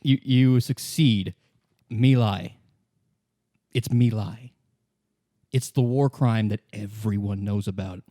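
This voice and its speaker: A man's voice: lowish voice, slow pace, pauses often, warm tone, dry recording, clean studio sound. A man acting as game master, narrating a tabletop roleplaying game for his player.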